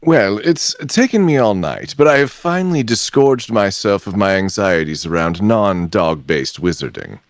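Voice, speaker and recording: A man's voice: fairly low pitch, animated, moderate pace, few pauses, no room sound, studio quality. A man playing a whimsical wizard in a comic audio drama, theatrical with silly incantations. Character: whimsical male wizard brewing dog-based potions.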